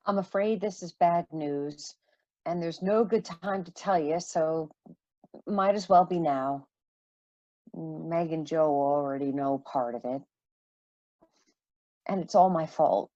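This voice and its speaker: A woman playing a worried, guilt-ridden mother in a radio play, speaking haltingly and carefully. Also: low-pitched, dark tone, dry recording, dull audio. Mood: grave, apologetic.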